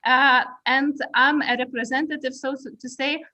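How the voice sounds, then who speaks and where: A woman's voice: high-pitched, steady tone, normal speed, bright, forceful tone, dry recording. A woman, moderating an online panel over a video call, hesitant with many restarts. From Lithuania. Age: 30s.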